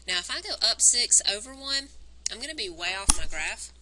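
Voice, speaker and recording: A woman teacher recording a math lesson on a wired mic, explaining each step and counting points aloud, her voice worn and going hoarse. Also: medium pitch, lively intonation, moderate pace, very noisy. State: losing her voice. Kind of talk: recorded math lesson, working through graphs. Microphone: wired microphone on a cord.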